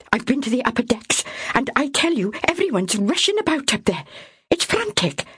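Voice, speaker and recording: A woman's voice: high voice, a bit bright, dry recording, studio quality, pitched above the performer's own voice. A woman reader voicing a panicked young mother, pleading urgently and breathlessly.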